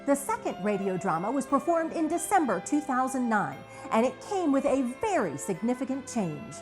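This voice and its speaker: Woman narrator, animated delivery, high voice, scripted documentary style, soft music behind. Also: moderate pace. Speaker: narrator and host of a retrospective.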